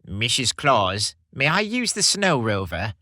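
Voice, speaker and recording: A man's voice: mid-range voice, sing-song, a little slow, sharp tone, no echo, clean studio sound, heavily acted. A man voicing a tiny, courteous and eager male elf in a Christmas storybook reading. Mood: chirpy, polite.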